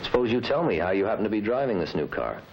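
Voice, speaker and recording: A firm, patient investigator, methodical and probing. His low voice moves freely up and down, over the faint noise of an old film soundtrack. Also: big pitch swings, fast talker, gravelly, darkish tone, rough recording. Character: firm, patient male law officer questioning a suspect. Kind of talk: mid-century training film acting.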